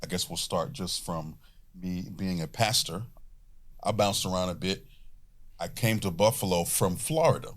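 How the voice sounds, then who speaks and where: Man, pastor, very deep voice, jokey and colloquial, interview guest. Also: normal speed. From Atlanta, Georgia, USA. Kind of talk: casual interview talk.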